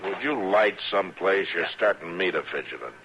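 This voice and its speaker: Very deep, gruff western lawman. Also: animated, fairly quick. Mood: exasperated. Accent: American. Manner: dry, teasing, commanding. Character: gruff, wry, exasperated male frontier lawman. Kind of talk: old-time radio western acting. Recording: muffled, hissy old radio recording.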